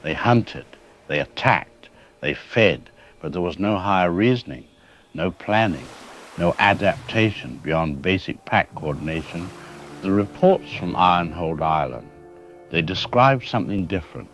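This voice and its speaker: A man playing a grave, alarmed scientist, giving halting, emphatic analysis in an audio drama interview. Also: low-pitched, animated, a bit bright, faint music behind. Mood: intense, analytical. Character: grave, haunted male scientist, alarmed expert caller. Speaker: scientific analyst calling a radio show.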